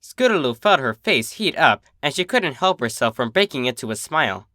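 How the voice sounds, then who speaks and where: A man reading fan fiction aloud in an expressive storybook narration style. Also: mid-range voice, normal speed, no echo, studio quality. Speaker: fan fiction reader, channel host.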